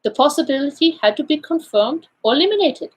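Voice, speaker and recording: A woman voicing a courteous, self-assured Belgian male detective in an audiobook, speaking in a deliberate, formal, explanatory way. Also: sits high, animated, voice pushed up. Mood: calm, assured. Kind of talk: audiobook character dialogue.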